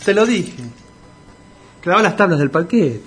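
Sarcastic, higher-voiced Argentine best friend. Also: male voice, expressive, a little slow, a bit bright, slightly rough, faint music behind, exaggerated voice. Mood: mocking. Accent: Argentine Rioplatense. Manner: dry, chiding. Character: exasperated, sarcastic sensible friend of a hapless dreamer. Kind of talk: comic radio drama acting.

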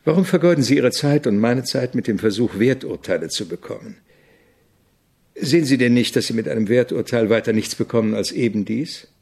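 An actor playing an opinionated, irritable avant-garde composer in a German radio drama, speaking in a didactic, deliberate way with a gravelly, husky voice. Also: male voice, mid-range voice, lively intonation, a little mellow. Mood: irritated, lecturing.

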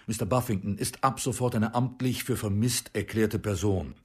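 An actor playing a stern police inspector in a radio crime drama, speaking formally and probingly in a husky voice. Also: male voice, lowish voice, moderate pace, a little mellow. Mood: grave, official.

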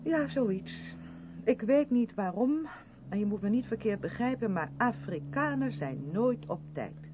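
A gushing, over-polite woman in a radio drama, quick to defend herself and faintly condescending. Her voice swings lively up and down. It sounds thin like a phone line, with a loud engine hum behind it. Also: a little high. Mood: flustered. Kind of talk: radio play dialogue in a moving car.

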